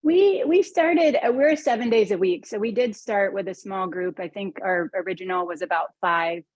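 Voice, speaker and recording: A woman's voice: medium pitch, normal speed, no room sound, poor audio. A woman who co-owns a candle business, speaking earnestly on a panel. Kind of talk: panel discussion.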